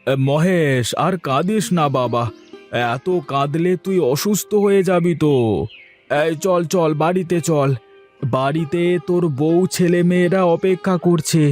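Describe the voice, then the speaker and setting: A man acts out a fatherly cartoon villager who consoles with firm, coaxing advice. His voice is fairly high, with music clearly playing behind. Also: unhurried, a little mellow, natural voice. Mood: consoling. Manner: coaxing, soothing, preachy. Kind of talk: animated cartoon dialogue.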